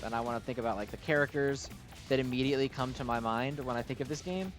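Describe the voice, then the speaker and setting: A man with a mid-pitched voice talks off the cuff over gameplay, with clear music playing behind him. He rambles like a devoted fan and reviewer, gushing freely and poking fun at himself in a casual way. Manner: self-deprecating asides. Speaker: video game reviewer and player. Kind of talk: casual unscripted gameplay commentary.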